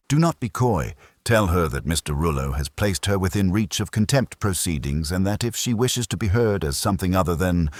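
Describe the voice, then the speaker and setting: A man voicing an imperious, pedantic master detective who gives orders in formal, ornate phrasing with a husky low voice. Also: a little mellow, no echo, heavily acted.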